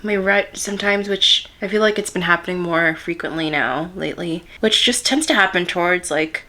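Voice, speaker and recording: A woman in her late twenties talks to the camera while doing her makeup, sounding slightly hoarse and congested from a cold. Her voice sits a little low but comes across bright and forward. She rambles softly and openly, like chatting with a friend. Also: normal speed. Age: 20s. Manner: soft, rambling, candid. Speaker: vlogger. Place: at home.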